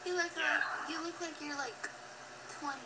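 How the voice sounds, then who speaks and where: A woman's voice: sits high, fairly even intonation, normal speed, a crowd in the background, poor audio. A young woman on a video chat, blunt, defensive and profane.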